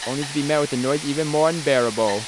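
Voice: medium pitch, rapid-fire, background noise. A let's play host reading visual novel narration aloud, with casual asides.

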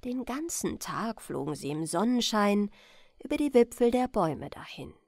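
A woman reading a bedtime fairy tale as scripted audiobook narration, in an expressive, deliberate storytelling manner. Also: mid-range voice, dry recording, studio quality. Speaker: audiobook reader.

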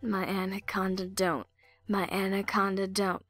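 A woman's voice: medium pitch, level tone, a little slow, fairly bright tone, faint music behind. A woman doing amateur drama outtakes, goofy, slipping between line readings and joking asides. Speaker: amateur voice actor in a fan audio drama.

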